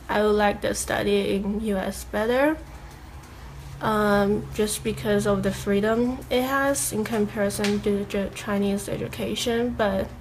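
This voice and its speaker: A woman's voice: mid-range voice, a little hiss or hum, low-quality recording. A young woman, a college student, answering interview questions in a casual, reflective way with many fillers.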